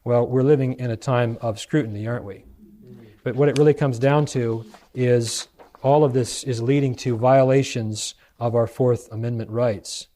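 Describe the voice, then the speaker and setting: A man with an American accent preaching in a lecturing style, reading out quotations and putting rhetorical questions to his listeners with deliberate emphasis. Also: medium pitch, steady tone, mellow. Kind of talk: sermon lecture with read-out quotations.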